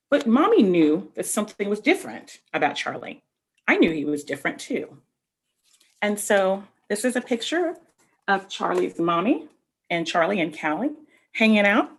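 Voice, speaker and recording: Expressive woman reading aloud, slightly husky. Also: medium pitch. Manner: expressive, addresses young listeners directly. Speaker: read-aloud storyteller. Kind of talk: children's picture book read-aloud with explanatory asides.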